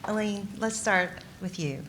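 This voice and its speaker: A woman's voice: mid-range voice, measured pace. A woman moderating a live patient panel at an event, chatty and a little apologetic, with plenty of fillers. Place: event venue in front of an audience.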